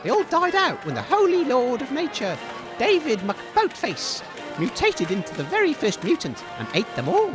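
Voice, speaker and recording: A man acts as a chipper, excitable British radio host in a comedy drama. His voice is very high and swoops all over as he commentates earnestly and gently chides. Music plays clearly underneath. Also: moderate pace, heavily acted. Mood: bright, chirpy. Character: cheerful naive male radio host, gently chiding his co-host. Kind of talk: comedy audio drama, mock live event commentary.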